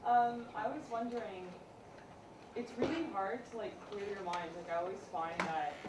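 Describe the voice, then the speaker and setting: A young woman in a meditation class asking a question casually, saying "like" often. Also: on the low side. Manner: casual, uses "like" a lot. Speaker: meditation student. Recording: echoey hall, distant mic, noisy.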